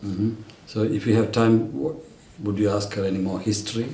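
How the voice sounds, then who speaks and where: A man's voice: lowish voice, normal speed, dark tone. A man acting as a medical examiner, putting oral exam questions to a candidate. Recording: echoey room recording with faint noise.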